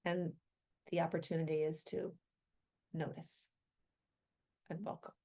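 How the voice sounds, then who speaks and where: Woman, low pitch, soft mellow timbre, guided meditation, gentle delivery, unhurried short phrases, long pauses. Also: flat delivery, creaky.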